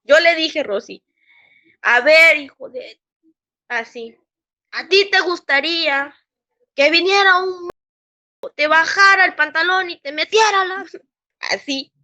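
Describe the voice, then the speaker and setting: A woman's voice: extremely high pitch, pushed and bright. A Mexican woman recounting a painful workplace experience in an interview, acting out what others said and often restarting her sentences. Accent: Mexican Spanish. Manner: acts out quoted voices, halting restarts. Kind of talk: emotional personal testimony.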